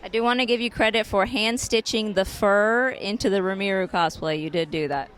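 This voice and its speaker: An easygoing woman explaining her sewing work at a convention. Her voice is mid-pitched and ordinary, and she feels her way through answers with frequent pauses. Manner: easygoing, hesitant with fillers. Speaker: cosplayer guest. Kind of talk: casual interview answers. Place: at a fan convention.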